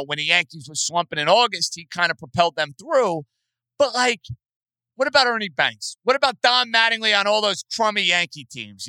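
Emphatic high-voiced New sports host. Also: male voice, sharp tone, no echo, low-quality recording. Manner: emphatic, repeats himself for stress. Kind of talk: sports call-in talk.

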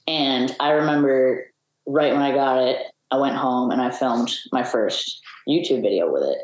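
Deep-voiced young woman in a roomy space. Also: normal speed, a little mellow. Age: young adult. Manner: open, reflective storytelling. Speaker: guest. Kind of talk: casual interview chat.

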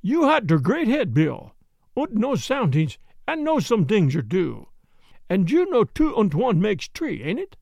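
A man's voice: high-pitched, huge pitch swings, a little slow, vocal fry, darkish tone, no echo, clean studio sound, exaggerated voice. A man voicing a stubborn, boastful male prisoner with a heavy German accent and broken English. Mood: scheming. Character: wild defiant male prisoner, stubborn and blustering.

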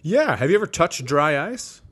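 A man's voice: a little high, sing-song, normal speed, a bit bright. Man bantering with dry, interjecting quips. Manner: interjecting, mock-exasperated questioning, dry quips. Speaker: comedy podcast co-host. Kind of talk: casual comedic banter.

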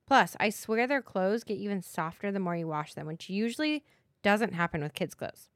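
A woman's voice: mid-range voice, lively intonation, moderate pace, no echo, clean studio sound. Upbeat woman podcast co-host. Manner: upbeat, enthusiastic, chatty. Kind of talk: casual podcast chat and host-read ad.

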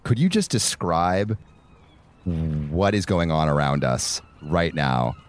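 A man hosting a podcast, narrating in a calm, measured way and interviewing a guest out on a boat. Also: very deep voice. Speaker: podcast host. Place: on a boat in a bay.

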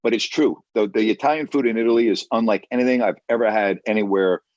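A man's voice: fairly low pitch, fast talker, darkish tone, no echo, muffled recording. A man chatting casually as a guest, emphatic and repeating himself for stress. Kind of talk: casual chat.

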